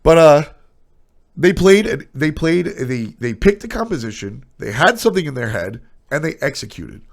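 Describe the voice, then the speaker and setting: A man's voice: a little high, very animated, normal speed, creaky. A man with a stuffy, nasal voice streaming casual, blunt opinions on esports, trailing off into asides.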